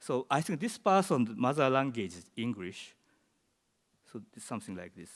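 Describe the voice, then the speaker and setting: Japanese-accented male presenter, English as second language, halting delivery, mid-range voice, long hall echo. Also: medium pitch, big pitch swings. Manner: halting, searching for words in English. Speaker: conference presenter. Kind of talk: conference presentation. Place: large conference hall.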